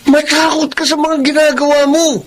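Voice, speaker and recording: An actor playing a righteous older brother in a radio drama, scolding and emphatic. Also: male voice, very high voice, level tone, normal speed, noisy background. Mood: reproachful. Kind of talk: Tagalog radio drama acting.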